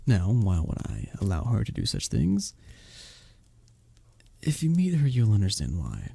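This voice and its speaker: Man acting a husky, lively medieval innkeeper. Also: on the low side, unhurried, no echo, exaggerated voice. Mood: amused, teasing. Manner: old-fashioned formal wording, teasing storyteller. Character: flirty, good-humoured male innkeeper of a medieval fantasy village. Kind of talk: scripted roleplay audio acting, intimate ASMR.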